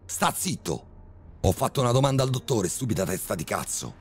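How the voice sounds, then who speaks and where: A man playing a furious, brutal middle-aged criminal father who shouts vulgar threats in a horror story reading. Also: fairly low pitch, huge pitch swings, moderate pace, gravelly, a little hiss or hum, voice pushed up, heavily acted. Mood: enraged.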